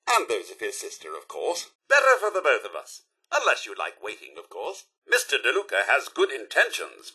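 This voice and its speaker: A man voicing a prim, fussy robot butler in a video game, with a British accent, a raspy edge and formal, theatrical, deferential delivery. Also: high-pitched, pushed and bright, full character voice.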